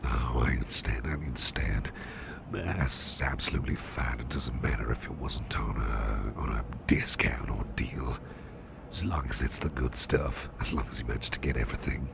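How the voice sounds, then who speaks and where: A courteous, soothing businessman boss, acted out by a man in an ASMR roleplay. His voice is extremely low and full of heavy fry, hushed almost to a whisper. He takes his time, gently repeating polite phrases, with noticeable noise behind him. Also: moderate pace, full character voice. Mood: obliging, pleased. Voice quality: very deep, gravelly, breathy near-whisper. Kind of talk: soft-spoken ASMR roleplay.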